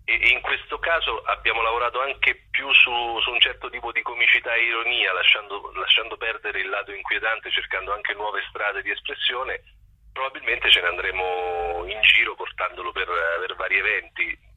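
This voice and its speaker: A man's voice: fairly low pitch, fairly even intonation, moderate pace, breathy edge. A man speaking casually as an interview guest, heard over what sounds like a phone line. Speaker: theatre performer, interview guest.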